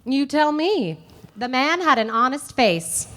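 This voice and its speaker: A woman's voice: high voice, very animated, unhurried, a bit bright, exaggerated voice. A woman playing an exasperated, fussy married woman in a radio drama, insistent and chatty. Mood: indignant. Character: exasperated, fussy married woman reporting her mother's mishap. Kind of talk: 1950s radio drama acting.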